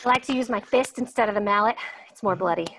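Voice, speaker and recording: A woman's voice: medium pitch, fast, fairly bright tone, lightly acted, rough recording. A woman reading a brash, aggressive, violent woman in a comedy table read.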